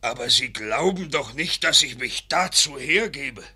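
An actor playing a stubborn, blunt, middle-aged blacksmith with a gravelly voice in a German radio play. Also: male voice, mid-range voice, expressive, a little slow, bright, forceful tone, heavily acted. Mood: scornful, indignant. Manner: blunt, scornful. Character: gruff, stubborn village blacksmith, father of a daughter.

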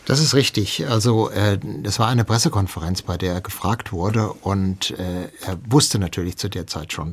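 Man, lowish raspy voice, explanatory expert talk, conversational. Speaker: expert guest.

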